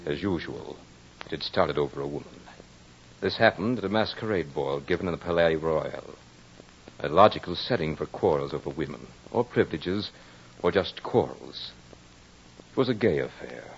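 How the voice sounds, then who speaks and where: An actor playing a brooding aristocratic courtier in an old radio drama, telling his tale in a measured, florid, dramatic way. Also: extremely low pitch, lively intonation, gravelly, slight background noise, exaggerated voice. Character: brooding aristocratic French courtier recounting a fatal duel. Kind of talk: 1940s radio drama monologue. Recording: muffled old radio recording.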